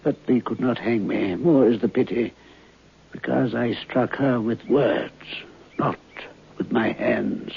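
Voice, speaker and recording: A man playing a weary, guilt-ridden old man in an old-time radio drama, halting and mournful. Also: mid-range voice, vocal fry, breathy edge, highs cut off, exaggerated voice. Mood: weary, sorrowful. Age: older. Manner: halting, shuffling, mournful delivery.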